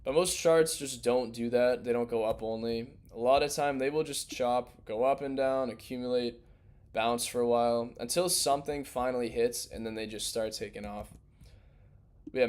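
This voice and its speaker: A young man hosting a crypto livestream in loose, rambling, slangy casual chat, his voice worn and going hoarse. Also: medium pitch, normal speed. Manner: loose, rambling, slangy, restarts mid-thought. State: losing his voice.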